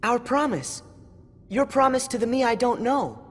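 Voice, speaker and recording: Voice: mid-range voice, animated, normal speed, husky. An actor playing an earnest, thoughtful young teenage boy hero in a video game, speaking sincerely. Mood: concerned. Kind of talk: video game cutscene acting. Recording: long reverb with music.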